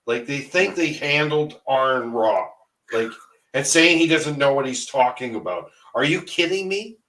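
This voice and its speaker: An animated man with a bright, lively voice tells a story, laughing as he goes. He sits a little back from his mic on a video call, so he sounds slightly distant. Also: medium pitch, unhurried. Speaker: guest. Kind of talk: casual podcast chat.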